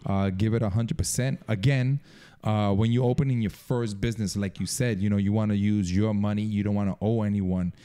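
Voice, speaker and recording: Guy who owns a business chatting on a podcast, keeps saying man and dude, warm low tone. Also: fairly even intonation, brisk, clean tone. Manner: emphatic, says "man" and "dude" and "correct" often. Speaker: podcast guest, entrepreneur. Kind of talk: casual chat.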